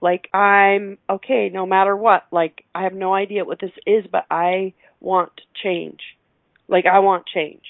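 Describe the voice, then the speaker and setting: A middle-aged woman from Canada chatting casually and effusively as an interview guest, playful and quick to laugh. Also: medium pitch, level tone, creaky, dry recording. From Alberta, Canada. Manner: effusive, playful, laughs often while talking. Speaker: interview guest, radio show host. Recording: narrow, phone-like line.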